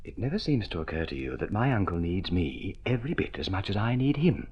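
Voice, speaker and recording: Jaunty young idler nephew for radio drama, flippant and bantering, hugely swooping intonation, dull vintage sound. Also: male voice, deep voice, sing-song, a little fast, breathy edge, exaggerated voice. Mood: teasing. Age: young adult. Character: flippant, good-natured young wastrel nephew.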